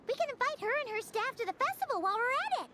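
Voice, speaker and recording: A woman voicing a small, childlike fairy companion in a video game, squeaky, blunt and expressive. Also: fast, slight background noise, full character voice. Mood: matter-of-fact.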